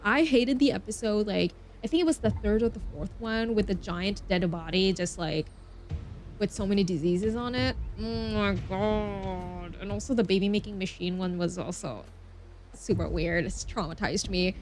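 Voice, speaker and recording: A woman live-streaming a game, reading its text aloud and chatting with expressive, gushing reactions. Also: mid-range voice, moderate pace, soft background music.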